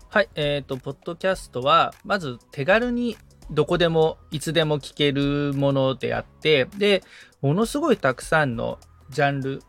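Male assistant-learner: creaky, slightly high voice, hesitant attentive replies, music underneath. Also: fairly bright tone. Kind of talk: attentive replies in a tutorial conversation.

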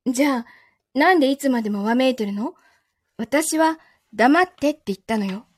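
Woman as possessive young lover, high-pitched, Kansai Japanese accent, coldly teasing, quietly threatening, dry recording, radio-play acting. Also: moderate pace, dry recording. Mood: cold, commanding. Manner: controlled, coldly teasing, menacing. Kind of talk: live audio drama acting.

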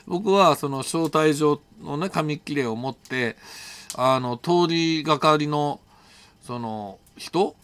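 Animated male storyteller with very lively pitch. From Japan. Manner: animated, colloquial, acts out dialogue. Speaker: solo audio storyteller, host. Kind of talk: casual personal travel storytelling.

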